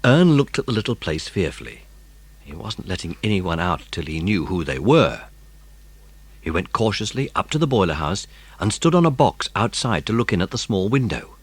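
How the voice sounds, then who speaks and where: Guy reading a kids adventure audiobook, very animated pitch, dry close sound. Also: moderate pace, breathy edge, no room sound. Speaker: audiobook narrator. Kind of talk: dramatised children's story narration.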